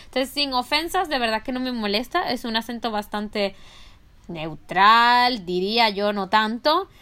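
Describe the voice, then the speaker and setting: Animated Venezuelan woman with a bright voice. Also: a little high, a little slow, clean tone. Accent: Venezuelan, Maracaibo. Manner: animated, joking, rambling. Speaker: podcast co-host and voice actress. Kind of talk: casual podcast chat.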